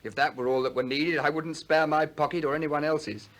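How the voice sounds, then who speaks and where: An actor playing an eager, earnest young Athenian disciple in a 1960s radio play. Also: male voice, on the high side, steady tone, fairly quick, a bit bright, lightly acted. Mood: eager, pleading. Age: young adult.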